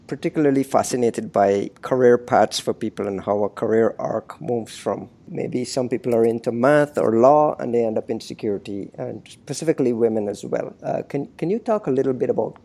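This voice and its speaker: A man's voice: medium pitch, a little fast, vocal fry, few pauses, warm tone. A man hosting a podcast, introducing the show and putting conversational questions to his guest. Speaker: podcast host and interviewer.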